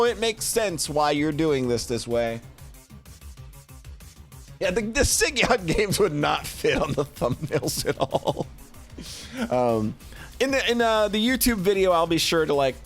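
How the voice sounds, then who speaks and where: A man giving casual, rambling livestream commentary, thinking aloud in an informal, halting way. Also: mid-range voice. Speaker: streamer hosting a tier list of games.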